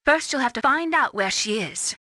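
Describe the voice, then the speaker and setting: A woman's voice: high voice, expressive, moderate pace, bright, forceful tone, no echo. A woman voicing a principled, compassionate adviser in a video game, with an earnest way of talking. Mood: inquisitive, alert. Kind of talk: dramatic video game radio dialogue.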